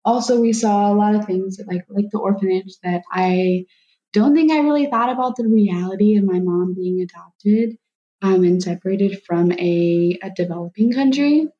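A young woman, a guest, speaking hesitantly and softly, with many likes. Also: mid-range voice, normal speed, muffled recording. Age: young adult. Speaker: guest, daughter of an adoptee. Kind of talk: reflective conversation.

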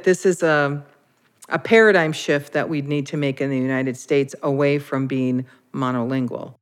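Thoughtful woman making a measured argument. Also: very deep voice, fairly even intonation, clean tone. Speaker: interviewee, Dakota language learner. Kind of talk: interview commentary.

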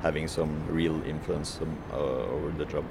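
A man's voice: rumbling low, fairly even intonation, moderate pace, creaky, noisy background. A man who works as a food courier, answering interview questions hesitantly with many fillers and restarts.

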